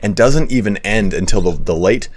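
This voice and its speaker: A man streaming a game while giving a casual, rambling history talk, hoarse today, with blunt profanity and shout-outs to donors. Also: lowish voice, animated, moderate pace, slight background noise. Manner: rambling, profane, reads donations aloud.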